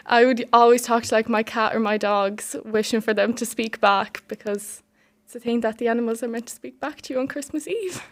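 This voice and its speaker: A woman's voice: on the high side, brisk. Casual teenage female student. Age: teens. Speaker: student interview guest. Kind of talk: casual interview answers.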